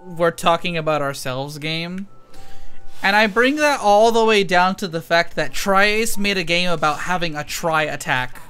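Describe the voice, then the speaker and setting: Game streamer, medium-pitched voice, casual and profane live commentary, excitable delivery over audible game music. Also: measured pace. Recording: loud game music behind. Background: video game music.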